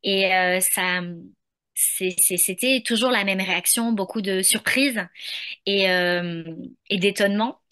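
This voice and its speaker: Bright-voiced French woman, hesitant. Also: mid-range voice, slow pace, clean tone. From France. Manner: hesitant, many fillers and restarts. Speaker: podcast creator, guest. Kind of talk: casual interview conversation.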